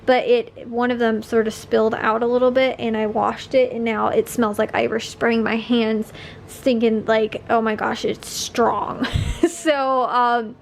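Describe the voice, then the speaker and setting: A woman's voice: fairly high pitch, level tone, unhurried, vocal fry, a little hiss or hum. A young woman vlogger chatting casually, rambling with lots of fillers and a slightly sniffly voice. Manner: chatty, rambling with many fillers and little laughs. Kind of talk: casual chat.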